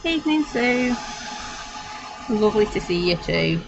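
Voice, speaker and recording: A woman with a slightly croaky voice, chattily talking viewers through a live craft demonstration. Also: mid-range voice, big pitch swings, measured pace. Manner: chatty, talks viewers through each step. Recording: dull lo-fi stream with noise.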